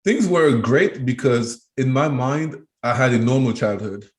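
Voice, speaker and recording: A man's voice: medium pitch, a little slow. A man in his thirties from Cameroon, answering interview questions thoughtfully, often restarting and repeating words as he thinks. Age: 30s. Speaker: guest, education trainer. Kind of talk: interview conversation.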